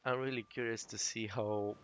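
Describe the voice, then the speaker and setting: A man's voice: lowish voice, fairly even intonation, moderate pace, fairly bright tone, a little hiss or hum. A man doing casual let's play commentary, murmuring short remarks as he plays. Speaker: gaming video host. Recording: faint game music behind the voice.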